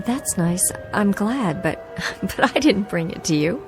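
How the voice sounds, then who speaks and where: A woman's voice: mid-range voice, expressive, unhurried, over music. An actress playing an anxious, bewildered young career woman in a radio drama, polite but insistent, with hesitant, stammering speech. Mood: puzzled, uneasy. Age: young adult.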